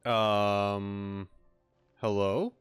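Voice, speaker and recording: A man's voice: fairly low pitch, little pitch movement, extremely slow, clear, resonant tone, no echo. A male gaming streamer talks casually over music, with a dry, sarcastic manner. He takes his time and sits close to the mic in a dry-sounding space. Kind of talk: casual let's-play commentary.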